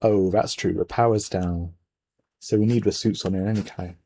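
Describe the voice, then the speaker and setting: A man's voice: sits low, steady tone, moderate pace, mellow. A man giving casual, rambling game commentary, musing aloud, often trailing off and reading bits of on-screen text. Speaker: let's player, gaming video host. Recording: noisy home microphone, game music behind. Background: video game music.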